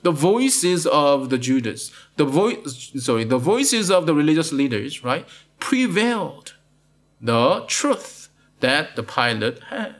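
Casting: middle-aged Malaysian-accented male pastor, high lively pitch, studio sermon, keeps checking "right?". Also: slow. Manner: asks "right?" after most points, repeats words. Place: studio with plain blue backdrop.

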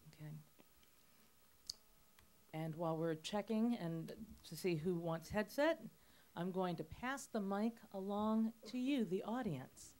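A woman's voice: mid-range voice, slow, darkish tone. Woman hosting students in an auditorium. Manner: friendly, organized, addresses the crowd with instructions. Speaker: event host addressing student audience. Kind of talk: hosting a school event. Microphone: handheld mic.

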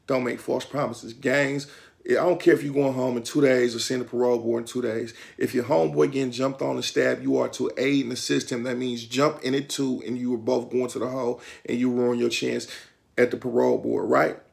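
A man's voice: medium pitch, level tone, fairly quick, gravelly, a little mellow. A middle-aged man with a Detroit accent, talking to the camera in a small room at home, giving streetwise advice with lots of hand gestures. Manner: streetwise, instructive, gestures a lot with his hands. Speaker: vlogger. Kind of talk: casual talk to camera.

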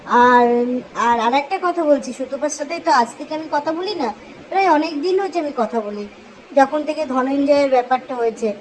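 A woman speaking informally in a voice message, explaining herself earnestly and haltingly. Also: sits high. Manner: earnest, self-justifying, halting. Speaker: caller leaving a voice message. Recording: noisy amateur voice message recording.

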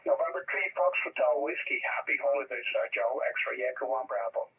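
A man's voice: mid-range voice, a little fast, creaky. A man chatting casually as a ham radio operator, heard over a radio transmission. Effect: shortwave radio transmission. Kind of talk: casual ham radio chat with call signs and phonetic spelling. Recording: thin phone-like radio signal, distant.

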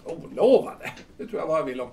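A man's voice: a little high, very animated, creaky, dark tone. A man in an audio drama playing a cantankerous, drunken bohemian who scorns women, blustering and stammering as he repeats himself. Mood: scornful. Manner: blustering, repetitive, stammering on words. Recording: distant microphone.

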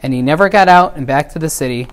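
A middle-aged man reads a children's story aloud in a measured, expressive way. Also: on the high side. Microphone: lapel mic.

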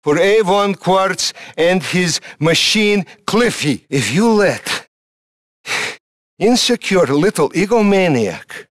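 An actor playing a blustering Russian submarine commander in a video game, with a Russian accent, speaking emphatic, repetitive broken English. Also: male voice, high-pitched, expressive, slow, no room sound, clean studio sound. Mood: earnest, defiant.

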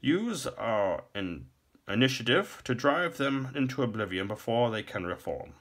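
Man doing a croaky, imperious old blind king. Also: mid-range voice, big pitch swings, unhurried, fairly bright tone, voice pushed up, full character voice. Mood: demanding. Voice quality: croaking, raspy. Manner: grand, commanding.

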